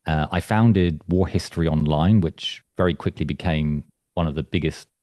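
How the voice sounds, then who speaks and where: A man's voice: very deep voice, normal speed, mellow, no room sound, clean studio sound. A man giving a chatty, self-deprecating presentation over a video call, often restarting his sentences. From England. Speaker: invited speaker, studio founder. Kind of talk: presentation to an online club.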